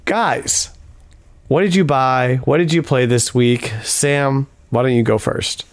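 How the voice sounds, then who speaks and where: A man hosting a casual podcast, upbeat and chatty, with a hoarse voice tonight. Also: medium pitch, slow, no echo. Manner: upbeat, chatty announcer delivery. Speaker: podcast host.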